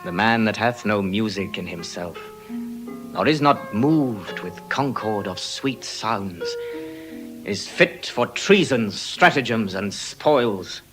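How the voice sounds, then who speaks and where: Eloquent young lover, Shakespearean verse, declamatory, animated pitch, music underneath. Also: male voice. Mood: grave, lyrical. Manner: lyrical, declamatory, measured. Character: Lorenzo, romantic eloquent young gentleman lover. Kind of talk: classical Shakespearean stage acting.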